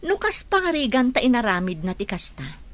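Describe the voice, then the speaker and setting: Woman acting an expressive, questioning lady of the house. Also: fairly high pitch, a little fast. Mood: coaxing. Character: bossy, questioning adult daughter, lady of the house. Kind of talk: Ilocano radio comedy-drama acting.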